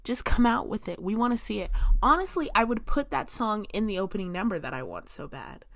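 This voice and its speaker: A young woman chatting casually to camera as a vlogger at home, expressive, with lots of "like" fillers and sudden bursts of emphasis. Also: mid-range voice, brisk, dry recording. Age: young adult. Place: room in her home.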